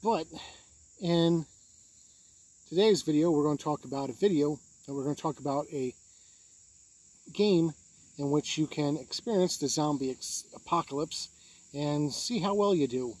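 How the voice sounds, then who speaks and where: A man with a fairly high, husky voice hosts a game review video. His tone is mellow and soft-edged, and he talks through rules in a conversational way, like a host explaining things to viewers. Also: normal speed, pauses often, rough recording.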